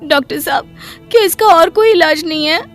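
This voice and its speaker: Woman as a very high-pitched timid young bride. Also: normal speed, soft background music. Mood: frightened. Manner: pleading, whiny. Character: timid, apologetic young daughter-in-law. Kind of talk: Hindi moral story cartoon dub.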